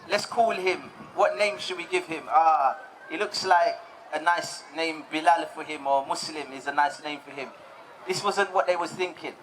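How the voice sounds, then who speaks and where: A young man raised in the United Kingdom, giving a relaxed personal testimony talk to an audience, often repeating words as he goes. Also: high-pitched, a little hiss or hum, poor audio.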